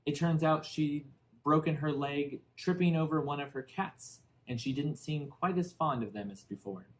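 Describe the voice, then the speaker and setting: A man reading a children's novel aloud in expressive, deliberately phrased storybook narration. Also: fairly high pitch, rough recording. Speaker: audiobook narrator.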